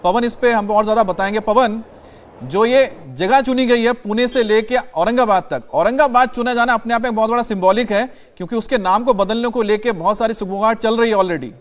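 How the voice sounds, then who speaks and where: A man's voice: high voice, a little fast. A man anchoring live TV news in an insistent way, cutting in with questions.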